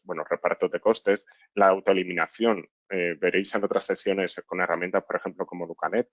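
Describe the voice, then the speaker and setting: A man's voice: low voice, no room sound. A man giving an explanatory business presentation as a consultant, often adding short tag questions to check that listeners follow. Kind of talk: webinar presentation with slides.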